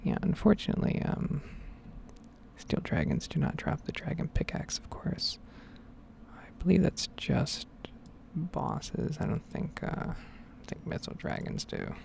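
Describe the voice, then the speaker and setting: Soft whispering woman with heavy vocal fry. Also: deep voice, wildly swooping pitch, slight background noise. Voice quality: breathy, whispered to soft-spoken. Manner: rambling, unhurried musing. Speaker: gaming ASMR video creator. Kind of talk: ASMR game commentary, whispered and soft-spoken rambling.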